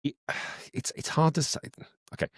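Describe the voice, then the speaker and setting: A man's voice: moderate pace, no echo, studio quality. A man speaking as an interview guest, a voice actor explaining his work in a reflective, conversational way.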